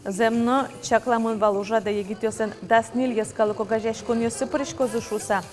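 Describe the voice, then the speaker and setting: A woman reading the regional news as an anchor in a steady, scripted delivery. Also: a little high, normal speed. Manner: steady, even newsreader delivery. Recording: long reverb, faint noise.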